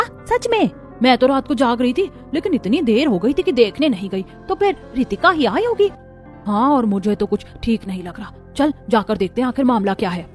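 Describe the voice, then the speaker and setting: Woman as outspoken high-voiced neighbourhood aunty. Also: close to an everyday voice. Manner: admonishing, emphatic. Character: outspoken, caring middle-aged neighbourhood aunty. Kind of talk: cartoon family drama dialogue.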